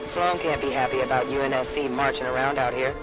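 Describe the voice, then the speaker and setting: An actor playing a casual, alert soldier in a video game squad, with an informal, colloquial way of talking. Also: male voice, mid-range voice, steady tone, fast talker, loud music behind the voice, close to an everyday voice, rough recording. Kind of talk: video game cutscene acting.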